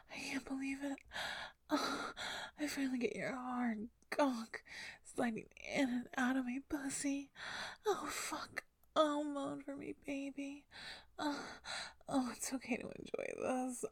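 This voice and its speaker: A young woman performing an intimate audio roleplay in a soft, breathy whisper close to the mic. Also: high voice, dry recording.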